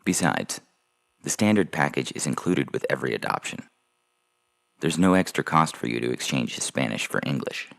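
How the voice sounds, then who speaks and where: A man playing a slick, callous adoption agency salesman with gravelly smooth patter in an audio story. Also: a bass voice, very animated, moderate pace, a bit bright, heavily acted. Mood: smooth selling. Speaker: voice actor reading a fiction podcast story. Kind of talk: dramatized short story reading. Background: music under the voice.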